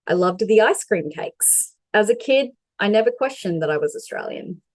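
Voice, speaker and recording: A woman's voice: mid-range voice, expressive, moderate pace, darkish tone, no room sound. A young woman from Sri Lanka giving a prepared talk as a mental health advocate, dialing in over a video call. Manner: reads a prepared presentation steadily.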